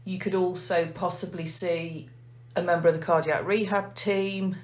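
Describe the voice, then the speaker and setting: An English woman, a nurse manager, calmly explaining a heart failure clinic in an interview. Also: fairly low pitch. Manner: measured, methodical explaining.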